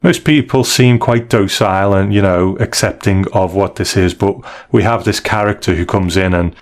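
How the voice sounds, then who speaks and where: A man's voice: low voice, fairly even intonation, moderate pace. A man co-hosting a casual discussion of comic books, explaining and recapping in a loose, conversational way. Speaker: podcast co-host.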